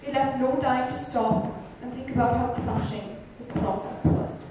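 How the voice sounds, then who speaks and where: Female narrator with a high voice, reading prose in a heavily reverberant room. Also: moderate pace. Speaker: reader in a dramatic book reading. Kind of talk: literary narration read aloud. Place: club lounge, indoors. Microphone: far microphone. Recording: distant mic, very echoey room, faint noise.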